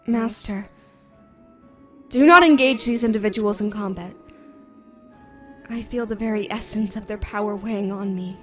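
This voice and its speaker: A woman acts as a young female anime warrior, solemn and ready for battle. Her mid-pitched voice is formal and commanding, with music faintly behind it. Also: moderate pace, long pauses, fairly bright tone, close to an everyday voice. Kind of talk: anime fan-drama acting.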